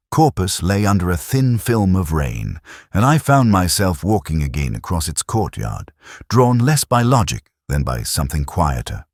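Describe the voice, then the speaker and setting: A man narrating a scripted first-person detective story in a measured, reflective, literary manner. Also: deep voice, husky, no room sound, studio quality.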